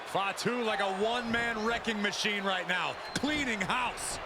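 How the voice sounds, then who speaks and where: A man giving excited, colloquial and emphatic colour commentary in a wrestling video game. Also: high-pitched, unhurried. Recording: very loud arena noise behind.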